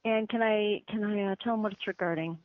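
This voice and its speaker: A woman's voice: medium pitch, brisk, dry recording. A woman working as an office assistant, handling a business call in a polite, apologetic and deferential way over the telephone. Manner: deferential, apologetic, formal courtesy. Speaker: receptionist or office assistant taking calls. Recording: tinny band-limited phone line.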